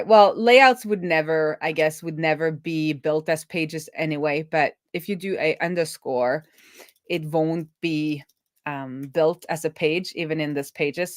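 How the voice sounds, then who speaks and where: A woman with a low-pitched voice talks through her work on a casual coding stream. She speaks informally, often to herself, and the sound is close and dry with very little room. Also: no echo. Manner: informal, self-talking. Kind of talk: casual live coding walkthrough, thinking aloud.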